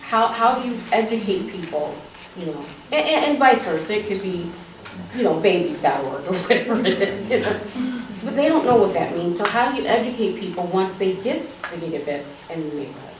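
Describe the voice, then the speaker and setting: A woman in the audience standing to ask a question, with a loud teacher's voice. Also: medium pitch, lively intonation, fairly quick. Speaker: audience member. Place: public event hall. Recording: distant voice in echoey room, clear noise.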